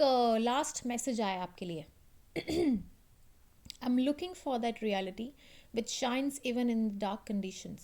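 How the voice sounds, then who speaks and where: A woman's voice: mid-range voice, a little mellow. A woman with an Indian accent and a slightly sore throat, giving a casual card reading to her viewers and mixing in English phrases. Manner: mixes Hindi with English phrases. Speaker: tarot reader and channel host.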